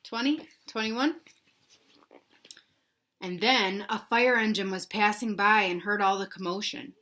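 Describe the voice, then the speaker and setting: A woman's voice: medium pitch, unhurried, pushed and bright. A woman reading a picture book aloud to young children, expressively, with simple explanations and questions to the listeners. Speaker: read-aloud storyteller for children.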